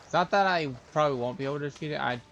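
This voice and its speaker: A man's voice: on the high side, rapid-fire, vocal fry, fairly bright tone, soft background music. A man streaming a video game, thinking aloud in casual, offhand commentary. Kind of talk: casual live gameplay commentary.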